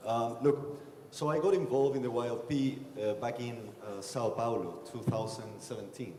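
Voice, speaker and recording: A man's voice: mid-range voice, moderate pace, warm tone, slight background noise. A young man from Chile, speaking as a panelist at a conference, telling stories with many hesitations. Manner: hesitant, many fillers, self-described timid. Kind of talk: conference panel talk, storytelling. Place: large conference hall. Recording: echoey conference hall, long reverb.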